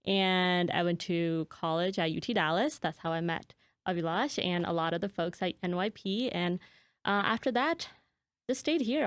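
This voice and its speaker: A woman's voice: medium pitch, dry recording. A woman in her thirties chatting as a podcast guest, bubbly and laughing often. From Nepal, raised in Texas. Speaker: guest, community group founder. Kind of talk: casual chat.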